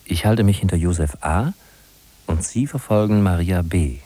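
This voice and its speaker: An actor playing a confiding narrator who guides the listener like a detective in a 1950s radio drama, with a husky voice. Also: male voice, deep voice, normal speed, dark tone. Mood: confiding, observant.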